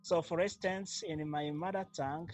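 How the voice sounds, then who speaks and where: A man with a Rwandan accent whose first language is Kinyarwanda, answering interview questions slowly and carefully, with many pauses and fillers. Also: fairly high pitch, steady tone, a little mellow, faint music behind. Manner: slow, measured, with frequent fillers. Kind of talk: casual interview.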